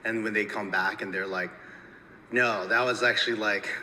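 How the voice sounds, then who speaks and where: Deep-voiced man in an echoey hall. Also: fairly even intonation, pushed and bright. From United States (Minnesota). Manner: halting, repeats words, peppers talk with "you know". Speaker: filmmaker and actor. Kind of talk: festival interview answers. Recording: echoey hall, faint ambient noise.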